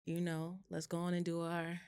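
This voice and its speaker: A woman's voice: fairly low pitch, completely flat delivery, normal speed, a little mellow, smooth, no echo. A woman co-hosting a podcast, upbeat and chatty, adding short reactions and backing up her partner. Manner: upbeat, brief interjections and backchannels. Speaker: podcast co-host. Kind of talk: casual chat.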